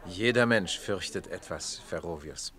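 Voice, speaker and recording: An actor playing a wry, courtly Roman captain in a radio play, speaking quietly and with measure. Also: male voice, low voice, lively intonation, a little slow, a faint crowd.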